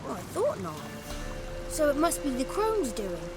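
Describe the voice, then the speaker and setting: A woman's voice: high-pitched, huge pitch swings, measured pace, music in the background, exaggerated voice. A woman voicing a cheeky, childlike male swamp creature in a video game, talkative and theatrical, with boastful, florid wordplay. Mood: indignant, theatrical. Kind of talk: video game character acting.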